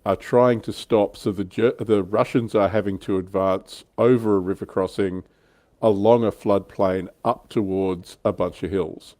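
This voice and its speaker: Low-pitched, mellow man explaining casually. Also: fairly even intonation, unhurried, no echo. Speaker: livestream host. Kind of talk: casual explanatory history chat.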